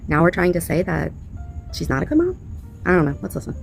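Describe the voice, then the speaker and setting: A woman's voice: fairly low pitch, big pitch swings, fast talker, creaky, soft background music. A woman hosting a reaction channel, speaking casually and with strong opinions, leaning on repetition and rhetorical questions. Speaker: commentary channel host reacting to clips.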